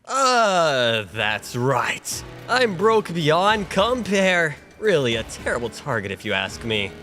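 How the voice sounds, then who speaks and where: A man's voice: a little high, sing-song, slow, sharp tone, faint music behind, full character voice. A man voicing a hapless, broke young man lost in another world, dramatic and self-pitying, in an anime parody dub. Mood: pitiful.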